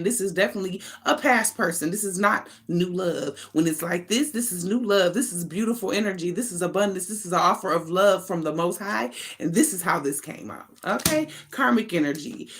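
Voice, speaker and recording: A woman's voice: medium pitch, fairly bright tone, slight background noise. An American woman with a mid-range voice hosts a casual tarot reading. She speaks off the cuff in loose slang, leaning hard on her points and swearing casually as she goes. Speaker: tarot reader, online channel host. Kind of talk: informal improvised tarot reading.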